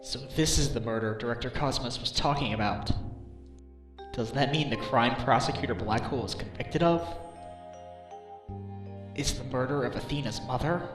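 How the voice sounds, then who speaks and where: A man's voice: mid-range voice, normal speed, pauses often, music in the background, lightly acted. A man playing an earnest, protective lawyer in a video game fandub. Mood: thoughtful.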